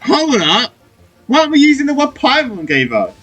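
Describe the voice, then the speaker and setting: A man's voice: very high-pitched, wildly swooping pitch, moderate pace, a bit bright. A young man streaming a game, laid-back, joking and swearing as he comments. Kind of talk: casual gameplay commentary.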